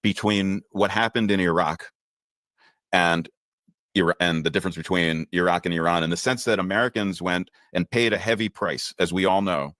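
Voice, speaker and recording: A man's voice: low voice, moderate pace, a bit bright, dry recording. A man conducting an interview, probing and rephrasing the guest's answers, often stumbling over his words. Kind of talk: interview questions.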